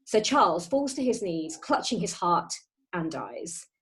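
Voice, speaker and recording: A woman's voice: on the low side, sing-song, a little slow, fairly bright tone. A woman narrator reading a play's stage directions as dramatic scripted narration. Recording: home internet call.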